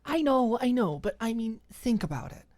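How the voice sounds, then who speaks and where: A woman reader acts out a clingy young girlfriend in an audiobook romance drama. She is pushy and jealous, insisting on her point. The voice sits higher than the narrator's own, in a dry, close recording. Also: very animated, normal speed, a little mellow, dry recording. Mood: pleading, coaxing. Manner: coaxing, insistent, scornful when crossed.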